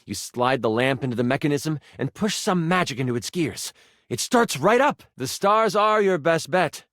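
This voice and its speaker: An actor playing an excitable, encouraging male game master who narrates tabletop fantasy with theatrical, geeky eagerness. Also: fairly high pitch, moderate pace, no room sound, studio quality. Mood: dramatic narrating. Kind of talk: dramatic tabletop fantasy narration with playful asides.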